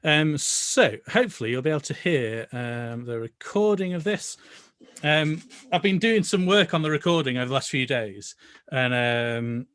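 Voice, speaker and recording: A man's voice: mid-range voice, moderate pace, a bit bright, no room sound. A man leading an online choir rehearsal over a video call, chatty and hesitant, with many fillers. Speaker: choir leader.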